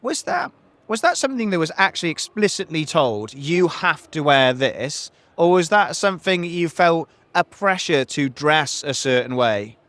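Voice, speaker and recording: Man, podcast host, rambling hesitant questions, slightly higher voice, dry close recording. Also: big pitch swings, moderate pace, a bit bright, no room sound. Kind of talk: conversational interview.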